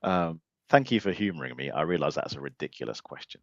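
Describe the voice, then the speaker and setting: A man's voice: very deep voice, animated, quick pace, gravelly, no echo, muffled recording. A man hosting a podcast, chatty and jokey, who now has children of his own. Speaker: podcast host. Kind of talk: casual chat.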